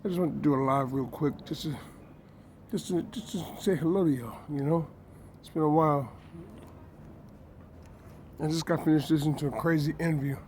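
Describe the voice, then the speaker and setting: A man talks straight to his followers in a casual live stream, repeating his points with emphasis. His voice is dark and mellow, a little rough from a cold he is getting over. A faint hiss of noise sits under his talk. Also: fairly high pitch, normal speed, pauses often. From New York. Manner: emphatic, repetitive, self-promoting. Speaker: fashion designer and business owner.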